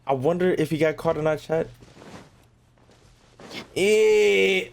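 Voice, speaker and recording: A man's voice: on the high side, slow pace, vocal fry. A man giving casual live gameplay commentary as a streamer, slangy, profane and joking. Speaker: game streamer.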